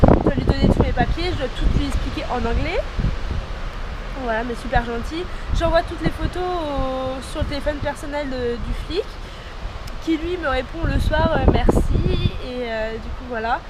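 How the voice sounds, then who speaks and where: A young woman with an accent from France, telling a personal story casually to camera in slangy, hesitant speech. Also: high voice. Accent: French from France. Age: young adult. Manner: informal, hesitant, slangy delivery. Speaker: au pair vlogger. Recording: noisy, wind and rustling on mic.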